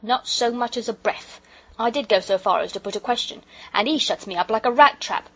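A woman's voice: on the high side, brisk, voice pushed up, poor audio. A woman voicing a proud, sulky working-class English railway porter in a storybook, with colloquial speech and dropped aitches. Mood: indignant.

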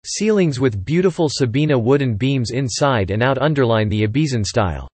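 A man reading English words and example sentences slowly and clearly for learners, saying each one twice. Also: mid-range voice, lively intonation, darkish tone, dry recording. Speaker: English word reader. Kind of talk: slow repeated vocabulary reading.

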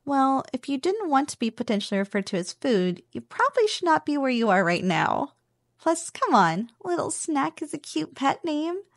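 A woman playing a playful, possessive but affectionate slime girl roommate in an ASMR roleplay, speaking softly and breathily with a teasing manner. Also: high voice, very animated, no room sound, studio quality. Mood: caring, relaxed. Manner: playful, teasing, slow and savoring.

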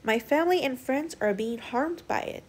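Woman as an earnest, high-voiced young male sea turtle. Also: expressive, moderate pace, husky. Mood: polite, eager. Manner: eager, pleading, exclamatory.

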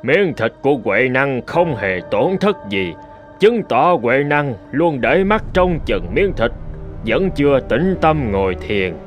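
A man voicing a solemn old Buddhist abbot in a cartoon, speaking in a measured, instructive manner. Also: mid-range voice, big pitch swings, faint music behind. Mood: solemn, teaching. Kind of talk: animated folk tale dialogue.

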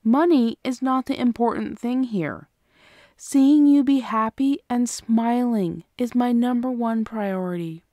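A woman playing an affectionate, protective, gently bossy girlfriend in an ASMR roleplay, speaking tenderly and coaxingly. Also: on the high side, a little slow, dry recording, clean studio sound, lightly acted. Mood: loving, reassuring.

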